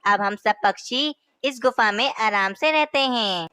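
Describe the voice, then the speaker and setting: A woman doing a bright cartoon parrot. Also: on the high side, a little slow, clean tone, no echo, clean studio sound. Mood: persuasive. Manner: persuasive. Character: male parrot, easygoing.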